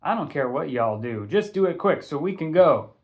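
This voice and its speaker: Man acting male coach, gruff, blunt, impatient, barking, storybook character. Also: fairly high pitch, very animated, moderate pace, muffled recording.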